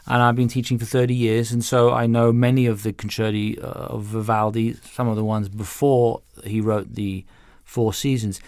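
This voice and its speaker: A middle-aged or older man speaking conversationally as an interview guest, explaining things in an animated, anecdotal way with self-interruptions. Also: mid-range voice, no echo, studio quality. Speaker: interview guest, music director and violin teacher.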